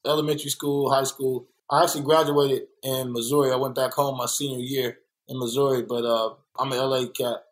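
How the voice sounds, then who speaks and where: A man's voice: medium pitch, level tone, fairly quick, few pauses, poor audio. A young adult man from Los Angeles, speaking earnestly and reflectively in an interview, repeating points for emphasis. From born in Kansas City, raised in South Central Los Angeles. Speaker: muralist and guest. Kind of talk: candid conversational interview.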